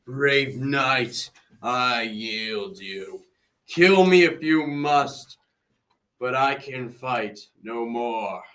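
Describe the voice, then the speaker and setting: A man's voice: medium pitch, a bit bright, a little hiss or hum. A teenage boy playing a proud old king in an amateur school radio drama, speaking in formal archaic phrases. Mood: defeated, dignified. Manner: formal, archaic, measured speech. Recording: distant from the mic.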